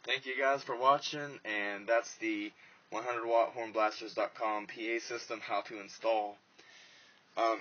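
Low-voiced man, muffled noisy recording. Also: sharp tone. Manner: plain-spoken, informal. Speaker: vlogger demonstrating an install. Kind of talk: casual hands-on walkthrough. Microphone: handheld phone or small camera. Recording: muffled, noisy handheld camera audio.

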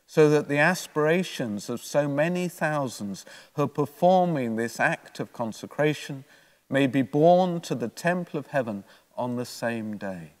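Older priest in a reverberant church. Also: male voice, a little high, animated, measured pace. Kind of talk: homily, read from prepared notes. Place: church, at a lectern. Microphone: gooseneck lectern microphone.

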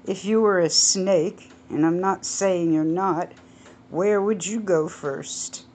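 Narrator doing a grumpy half-asleep college dude, gruff, low. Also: female voice, takes it slowly, a little mellow, a little hiss or hum. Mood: grumbling. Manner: gruff, grumbling. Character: grumpy, sleepy college-age young man.

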